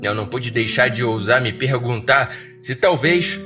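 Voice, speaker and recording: A man's voice: medium pitch, expressive, moderate pace. A Brazilian man with a mid-range voice hosts a horror podcast. He speaks informally, like a storyteller, over faint background music. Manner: informal, slangy. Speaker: horror podcast host and narrator. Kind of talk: scripted story narration and casual listener address.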